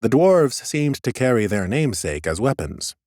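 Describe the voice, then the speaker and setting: A man narrating a first-person fantasy audiobook in a measured storytelling manner, slipping into dialogue. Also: medium pitch, sing-song, a little mellow, no room sound, clean studio sound.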